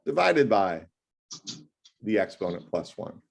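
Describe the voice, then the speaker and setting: A man tutoring math one-on-one over a video call, talking loudly in an animated, joking way. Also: lowish voice, a little slow, gravelly. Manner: loud, animated and jokey.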